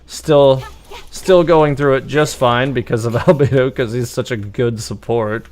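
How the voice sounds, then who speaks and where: A man's voice: medium pitch, steady tone, a little slow, a little mellow. A man giving casual, rambling live gameplay commentary, thinking aloud as he streams. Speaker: game livestreamer.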